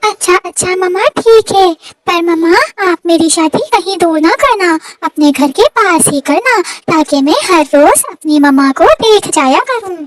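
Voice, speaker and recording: A woman's voice: very high-pitched, measured pace, no echo, far from the mic. A woman voicing a devoted young daughter sparrow in a children's cartoon, with a pleading, childlike and melodramatic delivery. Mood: pleading, sweet.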